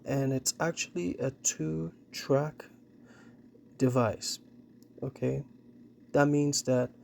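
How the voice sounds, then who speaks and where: A man's voice: mid-range voice, vocal fry, a little mellow, a little hiss or hum. A man casually narrating a hands-on demonstration and repair of vintage gear, thinking aloud as he explains. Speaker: vintage tech presenter.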